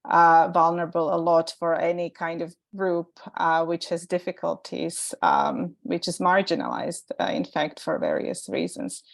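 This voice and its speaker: A woman's voice: fairly low pitch, fairly even intonation, unhurried, creaky, few pauses. A woman with a Croatian accent, a native Croatian speaker, moderating an online webinar informally and rephrasing as she goes.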